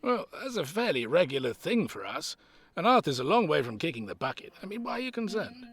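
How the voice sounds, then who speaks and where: An actor playing a grumpy, sceptical old care home resident in an audio drama, creaky and drily teasing. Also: male voice, on the high side, very animated, a little fast, fairly bright tone, dry recording, full character voice. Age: older. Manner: dry, sardonic.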